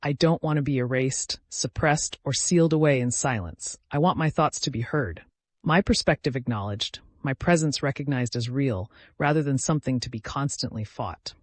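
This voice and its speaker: A man voicing an ancient, cunning, hungry entity in a fantasy story, speaking in a measured, formal, insistent way. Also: mid-range voice, no echo, lightly acted. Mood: earnest bargaining.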